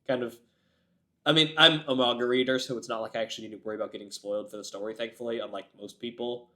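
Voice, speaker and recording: A man with a cold talks straight to his viewers, his voice raspy and a little nasal at an ordinary middle pitch. He rambles in a loose, chatty way, openly calling himself a yapper. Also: fairly even intonation, fast, pushed and bright. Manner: rambling, self-described yapper. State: getting over a cold. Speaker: anime reaction host. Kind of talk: casual chat to camera.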